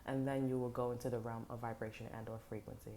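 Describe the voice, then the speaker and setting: A woman reads tarot for her viewers from home, her voice very low-pitched and dark. She rambles in a hushed tone, full of fillers and restarts, through a headset mic. A faint noise sits under the recording. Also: flat delivery, fast. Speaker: tarot reader. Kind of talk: casual spiritual talk to viewers. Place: home at night. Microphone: headphones with mic.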